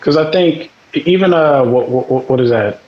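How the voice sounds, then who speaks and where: A man's voice: fairly high pitch, normal speed, lo-fi sound, a crowd in the background. A man in casual podcast chat, speaking bluntly with some swearing and acting out the people he quotes.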